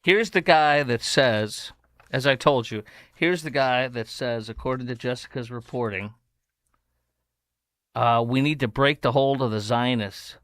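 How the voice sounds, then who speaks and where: A man's voice: mid-range voice, unhurried, fairly bright tone, no room sound, clean studio sound. A man hosting an opinionated talk show, combative and sarcastic in tone. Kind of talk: opinionated commentary.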